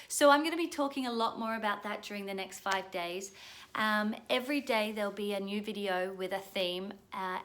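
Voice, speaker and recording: A woman whose voice is going hoarse, giving an earnest, passionate, informal talk as a coach, often checking in with a questioning "yeah?". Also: fairly high pitch, fairly bright tone. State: hoarse, losing her voice. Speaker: pleasure coach. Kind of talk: informal motivational video talk.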